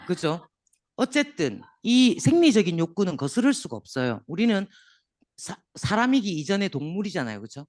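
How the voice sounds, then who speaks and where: A man with a hoarse, sore throat lecturing a room of business owners, joking and asking the audience questions as he goes. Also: high voice, no echo, studio quality. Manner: lively, jokes with the audience, asks questions. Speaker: seminar lecturer.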